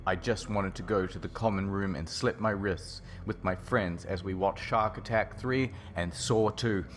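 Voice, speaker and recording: A man's voice: sits low, normal speed, a little hiss or hum. A man narrating a comic reading of fan fiction in a scripted storyteller style. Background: faint music.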